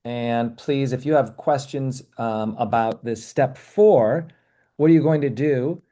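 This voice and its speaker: A man hosting a webinar, speaking in an appreciative, measured way as he thanks people and takes questions. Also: medium pitch, mellow. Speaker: webinar host. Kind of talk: webinar presentation and Q&A.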